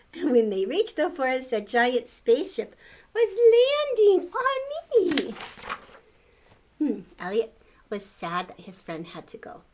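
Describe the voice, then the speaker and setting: A woman's voice: very high voice, noisy background. An older woman reading a storybook aloud to children, expressively, with exclamations and questions to the listener.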